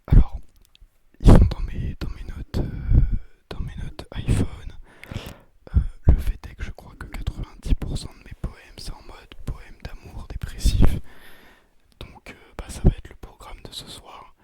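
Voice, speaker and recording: A young man whispers breathily into a close microphone in a casual ASMR style, rambling hesitantly and talking himself down. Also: unhurried. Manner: hesitant, rambling, self-deprecating. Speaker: ASMR video creator. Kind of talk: ASMR, casual chat to viewers. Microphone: close, sensitive microphone with occasional breath puffs and bumps.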